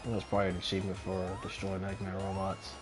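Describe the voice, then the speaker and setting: A man's voice: low-pitched, completely flat delivery, quick pace. A young adult man streaming a video game with casual, profane, slangy commentary. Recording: game music behind the voice.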